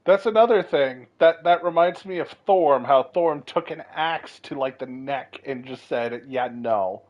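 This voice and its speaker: A man's voice: fairly high pitch, a little slow, lo-fi sound. A man giving casual reaction commentary as he streams a story-driven video game.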